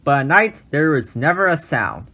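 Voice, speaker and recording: A man narrating a children's storybook in an expressive, measured read-aloud style. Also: fairly high pitch.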